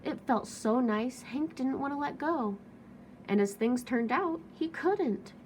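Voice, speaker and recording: A woman's voice: high-pitched, expressive, slow. Woman reading a storybook, noisy background. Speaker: children's storybook reader. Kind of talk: expressive read-aloud narration.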